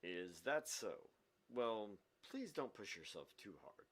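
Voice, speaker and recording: A man's voice: fairly low pitch, sing-song, takes it slowly, fairly bright tone, full character voice. A man voicing a courteous, concerned doctor in a visual novel, reading formally and politely. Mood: polite, caring.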